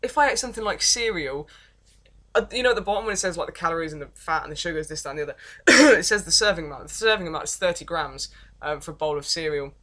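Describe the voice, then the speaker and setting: Young english woman vlogging, deep but bright edgy voice, keeps tripping over her words, laughs at herself. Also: quick pace. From England. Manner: rambling and self-deprecating, with many false starts. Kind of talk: casual confessional vlog, reading from notes.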